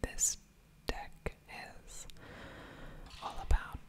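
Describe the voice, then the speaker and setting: A woman whispering ASMR game commentary close to the microphone, thinking aloud in a breathy, unvoiced murmur with soft mouth sounds. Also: extremely slow. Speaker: gaming ASMR video host.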